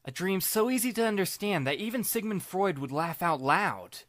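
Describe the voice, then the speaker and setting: A man reading first-person story narration in an expressive, wry, self-deprecating and dramatic way. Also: high voice, normal speed, dry recording, studio quality. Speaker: audiobook narrator playing the first-person lead. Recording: music bed behind the voice. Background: background music.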